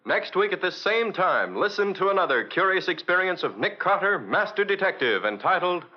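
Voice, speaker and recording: A man's voice: sits high, very animated, normal speed, lo-fi sound, soft background music. A man with an American accent announcing in a polished, emphatic 1940s radio sponsor style, on an old broadcast recording.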